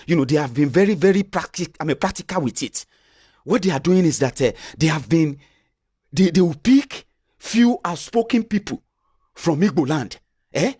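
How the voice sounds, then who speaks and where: Husky Yoruba-accented Nigerian man. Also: a little high, a little mellow, no echo, clean studio sound. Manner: passionate, repetitive restarts. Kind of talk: opinion commentary.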